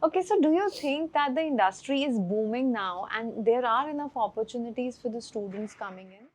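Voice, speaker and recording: A woman hosting an interview, attentive and encouraging, with many quick hmm and yeah replies. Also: fairly high pitch, expressive. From India. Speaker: interviewer, host. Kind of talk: interview questions and backchannels.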